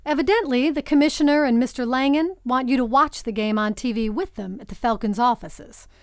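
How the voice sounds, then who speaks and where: Brief: actress as a matter-of-fact, earnest mom for a full-cast audiobook; slightly high voice, very dry recording. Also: female voice, brisk, no echo, lightly acted. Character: boy hero's mother, earnest and matter-of-fact.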